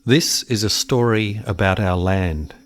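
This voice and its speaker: Actor as slow, brooding settler man. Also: fairly low pitch, close to an everyday voice. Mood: brooding, haunted. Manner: deliberate, brooding, poetic. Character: haunted settler son recalling his lost brother. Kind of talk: dramatic radio play narration.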